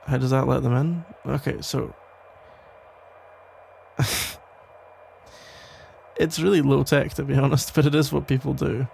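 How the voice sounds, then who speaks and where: A man playing a protective, worried police officer and ex-boyfriend in an ASMR audio roleplay, talking in a halting, casual, gently exasperated way with a gravelly voice. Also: medium pitch, steady tone, slight background noise. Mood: calmer, inquisitive. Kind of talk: ASMR roleplay, conversational one-sided acting.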